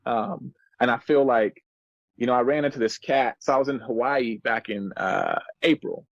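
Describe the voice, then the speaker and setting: A man's voice: medium pitch, normal speed, creaky, no room sound, muffled recording. A man in his thirties telling stories casually as a musician guest on a podcast, in laid-back, slangy, earnest and reflective speech. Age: 30s.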